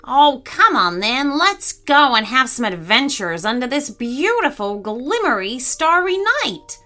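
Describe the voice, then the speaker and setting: Woman voicing a bright, chatty, cheeky parrot. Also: fairly high pitch, huge pitch swings, unhurried, soft background music, heavily acted. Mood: eager, pleading. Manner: gabby, teasing, exclamatory. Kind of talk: children's story character voice.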